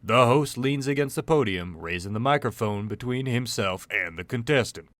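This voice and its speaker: A man's voice: mid-range voice, expressive, normal speed, a bit bright, dry recording. A man reading scripted scene narration for an audio drama.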